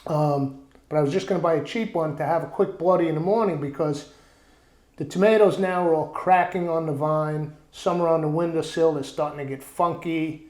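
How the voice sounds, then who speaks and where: A man's voice: a little high, moderate pace, darkish tone, reverberant. Chatty, rambling man with a raised voice. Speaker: cooking show host. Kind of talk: casual vlog chat. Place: outdoor backyard kitchen.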